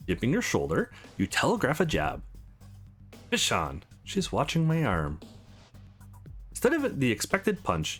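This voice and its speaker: A man narrates a visual novel as a let's play host. His delivery is hugely varied and expressive, acting out the text as he goes. Faint game music plays behind him. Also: fairly high pitch, husky. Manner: expressive, acts out the text as he reads. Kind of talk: dramatic read-aloud of a visual novel with offhand commentary.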